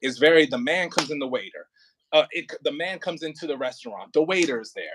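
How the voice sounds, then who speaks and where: A man working as an audio play director and acting coach, talking casually and informally, with halting pauses and emphatic repetition. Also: fairly high pitch, animated, fairly bright tone, a little hiss or hum.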